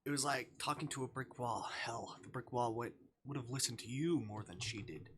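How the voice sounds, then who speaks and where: Bright-voiced man reading aloud on stream. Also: medium pitch, moderate pace, slight background noise. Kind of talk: reading visual novel narration and dialogue aloud on a livestream.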